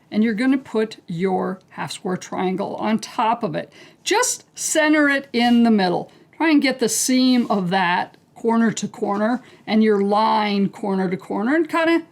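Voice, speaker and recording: A woman teaching a quilting technique step by step, calm and unhurried, clearing her throat as she is losing her voice. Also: a little high. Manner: calm, unhurried, talks through each step as she works. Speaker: quilting instructor. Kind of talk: step-by-step craft tutorial.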